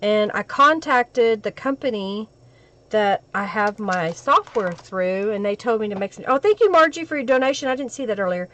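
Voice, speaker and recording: A woman with a crackly, hoarse voice from a cold, hosting a crafting live stream and chatting with viewers as she works. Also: medium pitch, animated, normal speed, fairly bright tone.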